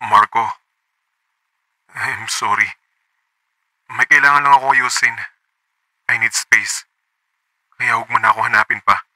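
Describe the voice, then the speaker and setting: A man's voice: mid-range voice, fairly even intonation, measured pace, pauses often, pushed and bright, no room sound, rough recording. A man playing a reserved, troubled man who speaks in a subdued, hesitant way in an audio drama. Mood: apologetic, withdrawn. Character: Luke, reserved, troubled man holding back secrets.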